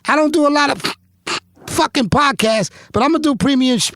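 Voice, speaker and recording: Excitable, high-pitched loudmouth promo voice. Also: male voice, fairly quick, no echo. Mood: excited, indignant. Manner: shouty, repetitive, profane, stammering. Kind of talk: comic show promo drop.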